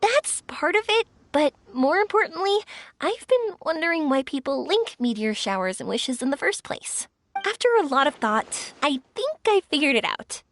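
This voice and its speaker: Cheerful young firework girl, eager and earnest, high lively voice, faint game music. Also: a little hiss or hum. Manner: bright, eager and reflective. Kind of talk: video game voice acting. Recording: soft game music behind.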